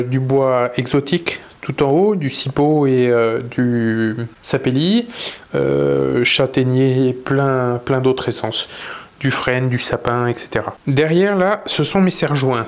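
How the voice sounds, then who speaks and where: A man in his thirties casually showing his woodworking workshop, talking in a relaxed way with many pauses and fillers. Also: mid-range voice. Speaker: woodworking channel host. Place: indoor wood workshop.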